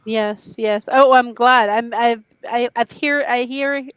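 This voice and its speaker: A woman's voice: a little high, steady tone, slow, fairly bright tone, clean tone, dry recording. Chatty woman talk show host. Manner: chatty, effusive, restarts often. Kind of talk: interview.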